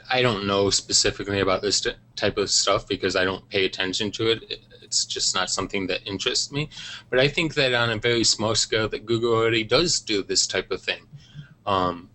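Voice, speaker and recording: A man arguing his point on a web panel in a low but bright, forceful voice. He is firm in his views yet keeps hedging with "um" and "I think". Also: fairly quick, low-quality recording. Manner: opinionated, hedging with fillers. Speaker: panel guest. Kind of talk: casual panel discussion. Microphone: video call.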